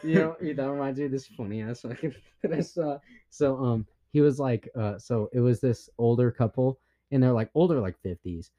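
A man's voice: medium pitch, moderate pace, dark tone. A young man hosting a casual podcast, joking crudely and laughing often into a studio mic. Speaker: podcast host. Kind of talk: casual chat. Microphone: studio mic on a deadener.

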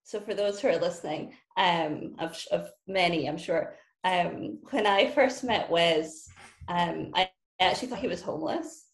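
A woman's voice: lowish voice, unhurried. Teasing Scottish woman, roomy echo. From Scotland. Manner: witty, teasing, blunt. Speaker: podcast guest. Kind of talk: casual podcast chat.

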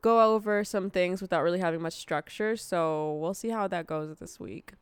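A young woman co-hosting a casual chat podcast, her nose stuffy from allergies, chatty and quick to react. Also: fairly low pitch, smooth, no room sound. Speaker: podcast co-host.

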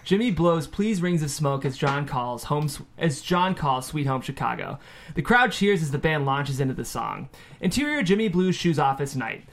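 A man's voice: a little high, very animated, normal speed, gravelly. A man reading out the stage directions as narrator at a screenplay table read.

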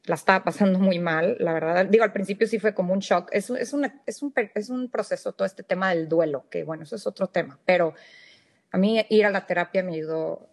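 A woman's voice: mid-range voice, a little fast. A woman in her thirties from Mexico, with a northern Mexican accent, earnestly telling her personal story as a podcast guest. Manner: candid, earnest, with fillers and restarts.

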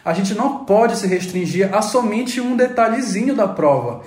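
A man's voice: sits high, expressive, normal speed, a little mellow, echoey room. A man teacher lecturing students emphatically during an educational panel talk.

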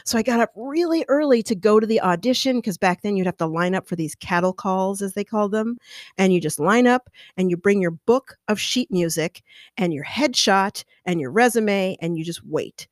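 A middle-aged woman with a lower, mezzo-alto voice tells a personal story on her podcast. She is animated and expressive, talking with her hands. The sound is dry and close to the microphone. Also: normal speed, no room sound. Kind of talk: casual storytelling.

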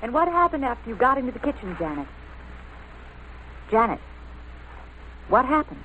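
A woman's voice: on the high side, very animated, moderate pace, background noise. A woman playing a composed newspaper reporter who asks probing questions in a 1940s radio drama. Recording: narrow-band old radio broadcast.

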